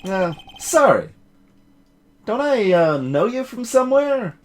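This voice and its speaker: A man's voice: high-pitched, sing-song, takes it slowly, slight background noise, voice pushed up, heavily acted. A man voicing a young male defense lawyer's dry, observational inner narration in a video game. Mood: puzzled. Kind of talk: voiced visual novel game reading.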